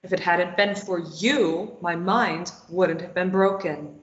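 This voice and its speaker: A woman's voice: on the low side, steady tone, slow pace. A woman voicing a guilt-ridden, grieving former addict in a table read of a stage play, speaking in a bitter, subdued, self-blaming way. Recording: dull echoey room sound.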